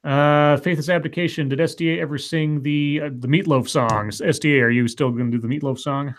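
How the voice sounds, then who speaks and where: A man in his late thirties co-hosting a casual online chat show, reading viewer comments aloud with dry, deadpan reactions. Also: on the high side, fairly quick. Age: late 30s.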